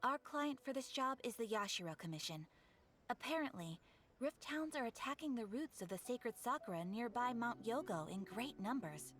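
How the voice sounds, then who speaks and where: A poised guild receptionist, briefing in a formal, courteous tone. Her voice sits a little high, with game music clearly running underneath. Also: animated, normal speed, fairly bright tone. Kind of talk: video game voice acting.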